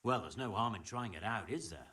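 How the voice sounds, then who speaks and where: A man acting an eager, plain-spoken master blacksmith in a medieval video game, with brisk old-fashioned turns of phrase. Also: lowish voice, lively intonation, bright, forceful tone, distant mic. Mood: sceptical, accepting.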